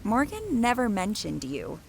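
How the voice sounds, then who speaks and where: A young college woman in an audio drama, skittish but sardonic. Her voice swings up and down over an unhurried pace, with a noticeable fry, talking casually and hesitantly as if half to herself. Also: medium pitch, wildly swooping pitch, slight background noise, exaggerated voice, rough recording. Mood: impatient. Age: young adult. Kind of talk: naturalistic audio drama acting.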